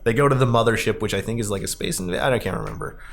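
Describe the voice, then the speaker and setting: A man's voice: mid-range voice, racing, a little hiss or hum. Man chatting casually about movies. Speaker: podcast host. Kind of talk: casual movie discussion podcast.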